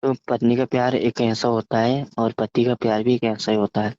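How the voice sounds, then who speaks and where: A man casually telling a story over the phone, halting and often stammering, repeating syllables and words. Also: mid-range voice, flat delivery, dark tone. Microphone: phone call. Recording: muffled, echoey phone call recording.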